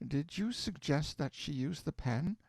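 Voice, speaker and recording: A man voicing a gravely ill mother who speaks tenderly and wistfully of her daughter. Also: on the high side, animated, unhurried, no room sound, voice pushed up, heavily acted.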